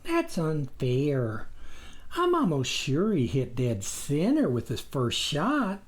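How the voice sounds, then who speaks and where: Man putting on a whiny, high-pitched dreamy sidekick. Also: huge pitch swings, slow, exaggerated voice. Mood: earnest insisting. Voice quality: whiny, sighing. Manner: hesitant, pleading. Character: whiny, dreamy, earnest imagined sidekick, timidly hopeful.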